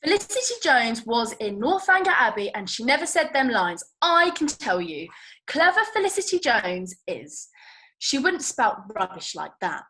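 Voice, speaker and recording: A feisty working-class young woman played by an actress at a table read, scornful of anything pretentious. Her voice sits fairly high and swings about expressively, with colloquial, mocking phrasing. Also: sing-song, moderate pace, sharp tone, poor audio. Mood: indignant. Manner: colloquial, mocking, non-standard grammar. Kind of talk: table read of a comedy screenplay.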